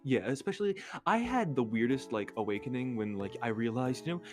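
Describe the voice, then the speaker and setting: A man's voice: medium pitch, expressive, brisk. A man chatting casually as a guest on a livestream, speaking hesitantly in short, broken-up pieces. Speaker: guest content creator. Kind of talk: casual livestream chat, personal anecdotes. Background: faint music.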